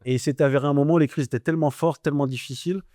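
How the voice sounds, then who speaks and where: A man with a northern French ch'ti accent recounts his years abroad in a lively, slangy manner, stumbling through restarts and fillers. His voice is mellow and dark, and it sits dry and close in the room. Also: a little high, very fast, dry recording. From northern France. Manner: animated storyteller, slangy, many restarts and fillers. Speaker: guest. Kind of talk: casual interview conversation.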